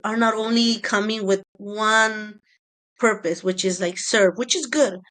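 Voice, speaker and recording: Woman, podcast panelist, average pitch, casual, conversational discussion. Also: unhurried, fairly bright tone.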